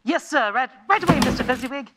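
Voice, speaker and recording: A man playing a flustered, sentimental old man in a radio play, halting and exclamatory. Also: extremely high pitch, heavy background noise. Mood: delighted nostalgia.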